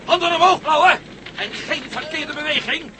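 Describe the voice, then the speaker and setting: An actor playing a bold, wry, tough adventurer in a radio play, giving commands. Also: male voice, extremely high pitch, expressive, normal speed. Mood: hard, commanding. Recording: noisy radio drama with sound effects.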